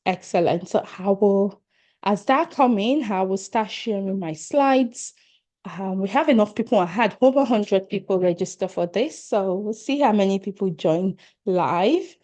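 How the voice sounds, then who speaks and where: A woman's voice: medium pitch, expressive, unhurried, warm tone. A middle-aged woman with a Nigerian accent, a leadership coach giving an online workshop over a video call, earnest and encouraging as she speaks straight to attendees.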